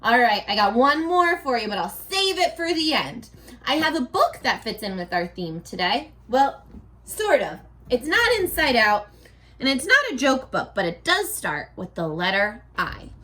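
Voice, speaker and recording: Lady teacher doing a kids read-aloud, highish voice, playful n exaggerated, keeps asking the viewers questions. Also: big pitch swings, moderate pace, pushed and bright. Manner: asks questions and answers imagined replies. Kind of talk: children's read-aloud lesson, talking directly to young viewers.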